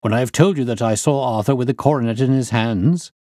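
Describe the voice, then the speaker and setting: A man's voice: on the low side, lively intonation, brisk, dark tone, no room sound, clean studio sound. A man voicing a stern, impatient older banker in an audiobook, formal and blustering. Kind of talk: audiobook character reading.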